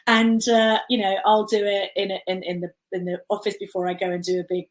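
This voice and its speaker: A woman's voice: medium pitch, level tone, a little fast. A woman hosting an online session. She backs up her guest and sums up his points. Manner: affirming, summarizes the guest's points. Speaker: host. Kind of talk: webinar interview.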